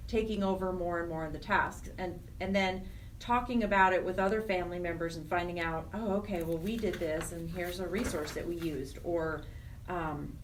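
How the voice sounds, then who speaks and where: Lower-pitched woman explaining in an interview. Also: normal speed, recorded from a distance, slight background noise, low-quality recording. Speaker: nonprofit program director, interview guest.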